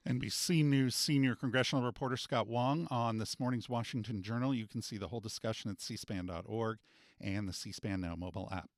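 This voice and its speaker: A male radio news host reads scripted links between segments in a smooth, measured voice. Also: lowish voice, no room sound, clean studio sound.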